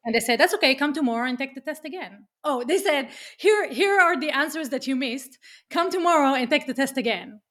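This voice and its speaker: A woman with an Israeli accent, a podcast guest talking business in a lively, emphatic way, often tagging lines with "right?". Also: high-pitched, a little fast. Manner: energetic, emphatic, keeps asking "right?", swears casually. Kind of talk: casual interview chat.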